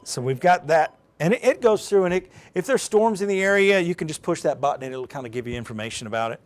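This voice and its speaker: A man's voice: a little high, expressive, fast talker. A man presenting an informal, unscripted step-by-step tutorial, explaining plainly in a conversational way as he demonstrates. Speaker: presenter of a live how-to demonstration.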